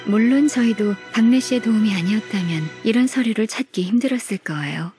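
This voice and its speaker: A woman plays a dutiful senior official in a video game. Her voice is slightly high, measured and courteous yet firm, with soft music behind. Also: close to an everyday voice. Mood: composed, polite.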